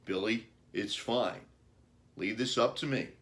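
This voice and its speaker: A calm, reassuring dad from a children's storybook, read by a man, in a lowish voice. He speaks slowly and firmly to his young son.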